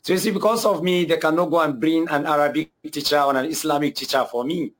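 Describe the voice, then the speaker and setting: A man's voice: a little high, steady tone, fast talker, fairly bright tone. A young man with a Nigerian accent telling his own story earnestly, hesitating and repeating himself often. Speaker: guest telling his conversion testimony. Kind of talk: personal testimony.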